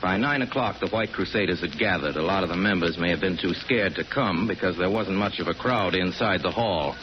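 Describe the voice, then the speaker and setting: A man narrating a 1940s radio drama in a wry, conversational and deliberate way. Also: sits low. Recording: muffled 1941 radio recording, faint noise.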